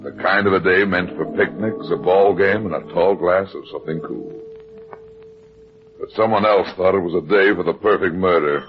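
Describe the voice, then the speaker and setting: An actor playing an easygoing, wry private detective in a radio drama, laconic and hard-boiled. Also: male voice, deep voice, normal speed, lightly acted. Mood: lazy, wry. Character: easygoing, wry male private detective telling his case. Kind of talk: first-person radio detective narration and dialogue. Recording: dull old radio recording with music.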